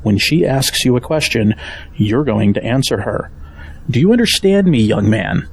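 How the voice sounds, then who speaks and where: Firm family patriarch, middle-aged, low-creaking voice, upright and fatherly, audio drama with audible background noise. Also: expressive, normal speed, a little mellow. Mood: stern.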